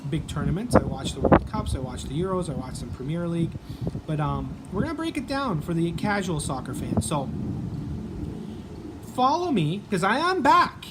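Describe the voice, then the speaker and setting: A man's voice: a little high, background noise. A man with a Canadian accent hosting a casual sports vlog, chatting in a rambling way with many ums and restarts.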